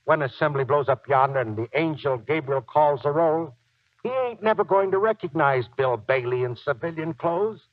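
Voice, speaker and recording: An actor playing a gruff, boastful but soft-hearted old Marine sergeant and husband in a 1940s radio drama, with slangy, folksy, ungrammatical talk. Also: male voice, a little high, moderate pace, dry recording, close to an everyday voice. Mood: sheepish. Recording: dull, lo-fi 1940s radio recording.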